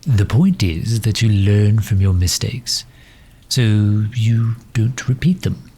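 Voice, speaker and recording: A man playing a pompous, pedantic but affable gentleman supervillain in an ASMR roleplay, with a husky, breathy voice and a wordy, condescending manner full of hesitant pauses. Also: lowish voice, expressive, heavily acted. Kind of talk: ASMR roleplay audio drama.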